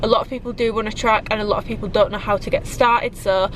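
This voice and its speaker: A woman's voice: mid-range voice, steady tone, quick pace, slight background noise. A British woman in her twenties, chatting casually to camera with lots of likes and ums, her nose a little blocked from a cold. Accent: British English. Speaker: fitness vlogger. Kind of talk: casual vlog chat to camera. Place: parked car, also home.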